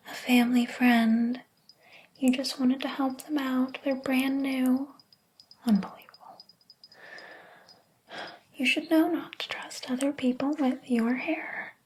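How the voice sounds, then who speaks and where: Woman playing a jealous hairdresser, very breathy whisper, slow haughty delivery, possessive, ASMR roleplay. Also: fairly high pitch, mellow. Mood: hurt, reproachful. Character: jealous, possessive hairdresser, obsessed with favorite client.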